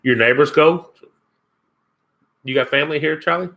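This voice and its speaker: A man's voice: a little high, moderate pace, bright, forceful tone. A man from Kentucky with a Kentucky accent, hosting his first live stream and chatting casually with viewers, rambling and chuckling as he answers comments. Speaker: outdoors channel host.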